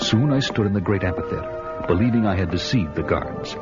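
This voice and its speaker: An actor playing a vengeful barbarian warrior, narrating his quest in a grim, measured way. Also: low voice, lively intonation. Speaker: narrator and hero. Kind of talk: first-person radio drama narration. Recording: dull lo-fi audio with music behind.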